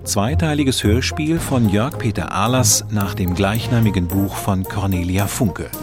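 Male announcer, lowish voice, scripted radio drama credits over clear music. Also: unhurried. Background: prominent music.

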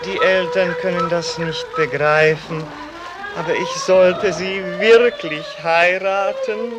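A man's voice: high voice, steady tone, slow pace. An actor playing an anxious, indulgent young boyfriend in a German radio play, hesitant and soothing as he tries to reassure. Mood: nervous reassurance. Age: young adult. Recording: noisy radio play with outdoor ambience.

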